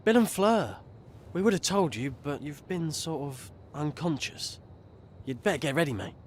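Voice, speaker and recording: A man's voice: on the high side, lively intonation, moderate pace, slight background noise, close to an everyday voice. A man playing a blunt, matey, doubtful teenage boy wizard with an English accent in a video game.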